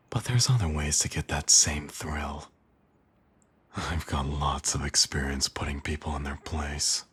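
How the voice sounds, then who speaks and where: An extremely deep, heavily husky villain, acted by a man, made for intimate ASMR roleplay. He murmurs with smug, seductive teasing and a doting streak underneath. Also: a bit bright, full character voice. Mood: smug, menacing. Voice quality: husky, very deep, breathy at times.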